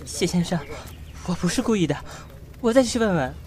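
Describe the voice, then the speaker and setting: A man's voice: sits high, lively intonation, a little fast, background noise. A man acting a timid, apologetic young assistant in an audio drama. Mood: anxious, apologetic.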